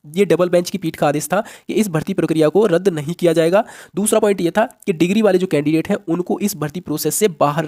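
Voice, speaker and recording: A man presents an explanatory update to his viewers. He talks very quickly in a somewhat high voice. His manner is teacherly and direct, with English words mixed in. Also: dark tone. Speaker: host of an exam-update channel.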